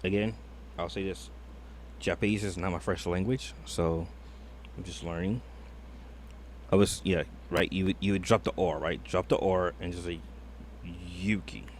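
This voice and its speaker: A man's voice: low-pitched, steady tone, unhurried, long pauses, a little hiss or hum. A man streaming a reaction video, thinking aloud in a hesitant, rambling way full of fillers. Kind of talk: casual reaction commentary.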